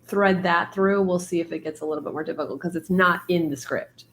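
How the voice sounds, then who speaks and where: A woman hosting a writing stream, talking casually with many fillers and laughs, her voice going hoarse. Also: lowish voice, fairly even intonation, fairly quick, slight background noise. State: losing her voice. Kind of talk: casual chat.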